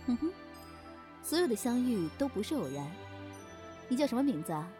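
A woman's voice: fairly high pitch, sing-song, fairly quick, mellow. A woman playing a poised, teasing keeper of a magical inn in an audio drama, self-assured and probing. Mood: inviting, mysterious. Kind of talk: Chinese audio drama acting. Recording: faint music behind the voice.

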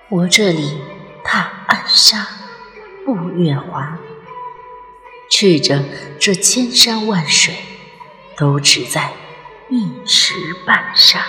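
A woman's voice: fairly low pitch, fairly bright tone. A woman narrating a ghost story as a scripted, dramatic audio drama.

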